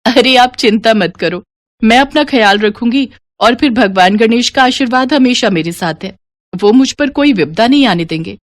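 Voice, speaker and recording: A woman's voice: fairly high pitch, expressive, moderate pace, no echo, natural voice. A woman voicing a devout, polite young working wife in a moral story. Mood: reassuring. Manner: polite, earnest. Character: devout, hardworking, earnest young wife and shop worker.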